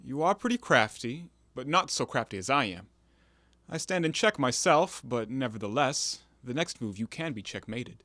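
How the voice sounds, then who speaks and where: A man's voice: medium pitch, very animated, moderate pace, pushed and bright, no echo. A man in an audio play voicing a sly, defiant, cornered ex-convict in terse, formal stage diction.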